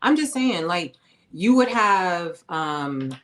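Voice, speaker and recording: Low-pitched New woman host, emphatic and slangy, casual interview. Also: lively intonation, takes it slowly, a bit bright. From New York. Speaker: podcast host.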